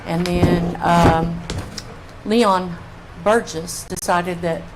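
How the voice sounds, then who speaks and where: A woman's voice: on the low side, fairly even intonation, drawn-out words. An older woman giving informal public testimony as a resident. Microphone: public comment microphone. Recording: faint room noise on meeting mic.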